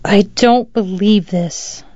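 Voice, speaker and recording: A woman's voice: medium pitch, slow pace, dark tone, no echo, dull audio. A woman voicing an anxious young city woman, polite but incredulous and tense, in a narrated story. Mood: upset, incredulous.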